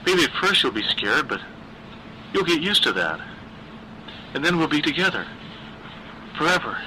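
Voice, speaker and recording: A man's voice: on the high side, very animated, normal speed, a little hiss or hum, voice pushed up, full character voice, low-quality recording. Man voicing a shy, eerie lovesick teenage boy. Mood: pleading, soothing. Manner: soothing, insistent, quieter.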